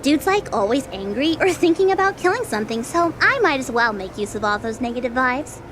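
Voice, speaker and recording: Woman acting a very high-pitched, playful young goddess. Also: animated, a little fast, a bit bright, slight background noise. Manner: coy, teasing, slangy. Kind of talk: audio drama acting.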